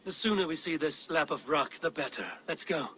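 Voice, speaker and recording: A sharp, forceful male Viking warrior, pitched a little high. He challenges bluntly and impatiently in dramatic game style, with faint ambience behind him. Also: normal speed, a little hiss or hum. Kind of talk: dramatic video game acting.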